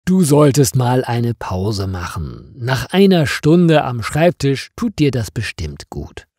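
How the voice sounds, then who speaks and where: A man's voice: mid-range voice, sing-song, takes it slowly, darkish tone, dry recording, clean studio sound, exaggerated voice. A man voicing a concerned, helpful female office colleague in caring, questioning storybook dialogue.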